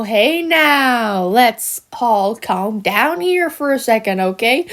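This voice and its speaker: A smug, jealous cartoon critter villainess, speaks in a high voice that swings widely up and down. She takes her time, drawing words out slowly with theatrical, mock-sweet menace. Her manner is taunting and sinister, as in a fan-made animated monologue. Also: creaky, full character voice.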